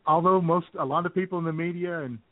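A man's voice: a little high, steady tone, fast, dry recording. A man co-hosting an internet radio show, bantering casually and sarcastically about the news over a thin, phone-like sound. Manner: sarcastic, joking.

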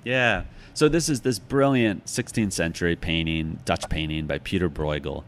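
Low-voiced, thoughtful middle-aged man. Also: moderate pace, a little hiss or hum. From United States, Chicago. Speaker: interview guest, author. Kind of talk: reflective conversational interview answers.

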